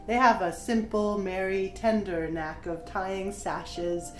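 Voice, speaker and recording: An actress plays a grown woman poet reflectively recalling her childhood, reciting verse with measured, deliberate phrasing in an audio drama. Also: mid-range voice, fairly even intonation, close to an everyday voice. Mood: reflective, tender. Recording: roomy, echoing, music underneath. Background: musical instrument playing.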